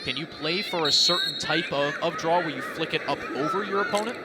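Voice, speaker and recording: An American man calling live televised sports as a play-by-play commentator, his delivery animated and rising with the action. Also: a little high, fairly quick. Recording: loud crowd and stadium noise behind.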